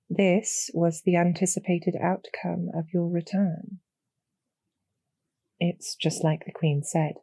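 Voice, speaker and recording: A woman voices a calm demon general from an audio drama, loyal to a queen and gently persuasive. The voice is low-set and mellow, with slow, deliberate phrasing and formal manners. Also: no echo. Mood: knowing, measured. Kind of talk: scripted roleplay audio drama.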